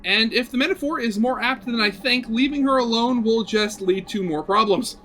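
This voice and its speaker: A man's voice: very high-pitched, normal speed, pushed and bright, a little hiss or hum. A man reading a visual novel aloud as a gaming video host, with casual, rambling commentary.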